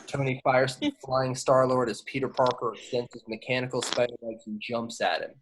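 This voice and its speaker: A man with a medium-pitched voice narrates screenplay action. It is an amateur read-through, with stage directions read aloud from a script. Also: little pitch movement, brisk, a little hiss or hum, rough recording.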